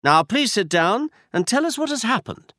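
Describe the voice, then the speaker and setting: A man's voice: high voice, big pitch swings, moderate pace, pushed and bright, no echo, clean studio sound, voice pushed up, full character voice. A man doing a self-assured detective. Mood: confident. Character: brilliant confident male detective. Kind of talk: audiobook character reading.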